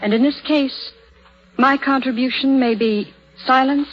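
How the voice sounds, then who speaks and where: A woman's voice: sits high, fairly even intonation, unhurried. A woman playing an earnest, troubled, strong-willed young woman in a 1930s radio drama, with a carefully acted delivery. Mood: polite, subdued. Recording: dull, lo-fi 1930s radio recording.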